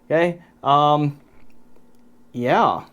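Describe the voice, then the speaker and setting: A man's voice: on the high side, extremely slow, fairly bright tone, clean tone, highs cut off. A man presenting an unscripted product review in a casual, conversational way, thinking aloud and correcting himself as he goes. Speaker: audio gear reviewer, channel host.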